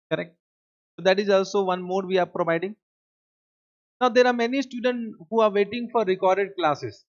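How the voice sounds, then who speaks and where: High-voiced Indian English teacher lecturing. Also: male voice. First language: Hindi. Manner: keeps checking in with students, repeats key points. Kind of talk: classroom lecture. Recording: dull lo-fi lecture recording.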